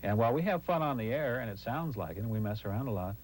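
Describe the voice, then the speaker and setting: A man's voice: mid-range voice, expressive, fast talker, poor audio. An American man, a radio traffic reporter, talking casually as he is interviewed. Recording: 1980s TV soundtrack, music underneath.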